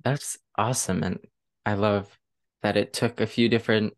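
A woman's voice: a bass voice, fairly even intonation, normal speed. A woman hosting a casual interview, speaking informally and with enthusiasm in loosely phrased sentences. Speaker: podcast host.

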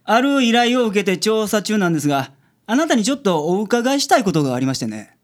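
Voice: mid-range voice, sing-song, fast, close to an everyday voice. An actor playing a blunt, self-assured male detective with a Kansai accent in a radio drama. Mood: businesslike.

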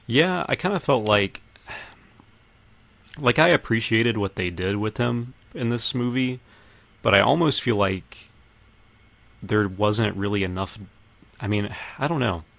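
A man's voice: on the low side, a little slow, creaky, long pauses, fairly bright tone. A man hosting a casual film discussion with friends, thinking aloud in a tentative way. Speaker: podcast host.

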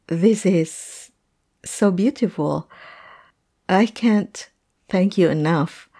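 Woman playing a hesitant heartbroken woman, slightly low pitch, unhurried halting delivery, courteous, fantasy audio roleplay. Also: mellow. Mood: moved, grateful. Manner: hesitant, halting, polite, breathy sighs. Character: hesitant heartbroken woman client, polite and grateful.

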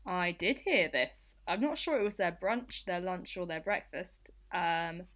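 Female co-host, slightly low register, informal conversational news chat. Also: a little slow, a bit bright. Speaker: podcast co-host.

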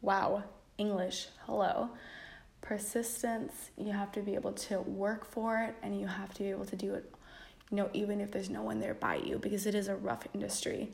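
A young woman in her twenties from Denmark, talking casually to the camera. Her voice has a gravelly, fry-laced texture at a middle pitch, loose and chatty with plenty of fillers and small laughs. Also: level tone, moderate pace, a little mellow. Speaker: vlogger. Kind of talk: casual reflective chat.

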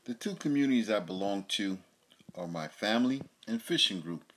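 A middle-aged father giving plain, steady answers about his communities. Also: on the low side, normal speed. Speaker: father, contractor. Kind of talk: interview answers.

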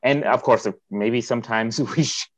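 A man's voice: mid-range voice, normal speed. A man in a casual conversation with an old friend, talking things through in a reflective way with restarts and chuckles. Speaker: conversation partner, longtime friend. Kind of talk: casual chat.

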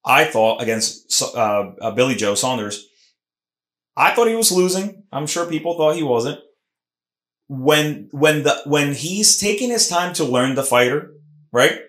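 A man's voice: medium pitch, lively intonation, measured pace, a bit bright. A man hosting a casual sports podcast, talking emphatically with lots of restarts. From Puerto Rican heritage. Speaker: podcast host. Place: Philadelphia podcast studio room. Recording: roomy echo.

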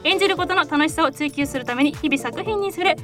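A high, bright woman's voice talking fast as the host of a studio radio chat show. She is chatty and laughs easily, with soft music behind her. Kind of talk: casual radio talk. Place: recording studio.

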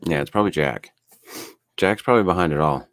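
A man with a very low, deep voice, talking loosely on a podcast. He drifts along with plenty of fillers and cheerful swearing. The sound is close and dry, with no room around it. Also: quick pace, no echo. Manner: laid-back, swears freely, lots of fillers. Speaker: podcast co-host. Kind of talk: casual podcast chat.

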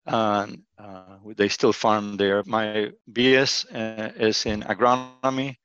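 A man's voice: fairly low pitch, flat delivery, slow, a bit bright, clear, resonant tone, dry recording, muffled recording. A man, a college dean answering interview questions with many fillers and restarts. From Argentina.

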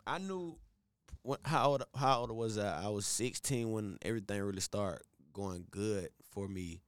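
A young man from Atlanta with a slightly raspy voice, chatting casually as a guest about his music in a laid-back, drawling way. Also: on the low side, normal speed, no echo. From Atlanta, USA. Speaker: R&B singer guest. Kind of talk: casual interview chat.

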